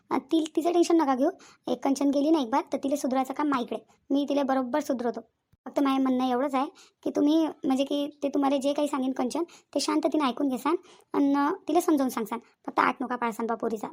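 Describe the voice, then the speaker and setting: Cartoon village auntie, voiced by a woman: middle-aged placating peacemaker, high-pitched, brisk, chatty, Varhadi Marathi accent. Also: fairly even intonation, darkish tone. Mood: persuasive, reassuring. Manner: talkative, reassuring, repetitive persuasion. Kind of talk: comedy cartoon dialogue.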